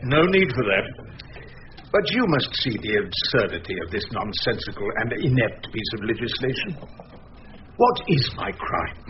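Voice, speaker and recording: An actor playing a defiant, witty older English barrister in a radio drama, droll and pompously eloquent. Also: male voice, medium pitch, sing-song, normal speed, muffled recording, a little hiss or hum, lightly acted. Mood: defiant, oratorical.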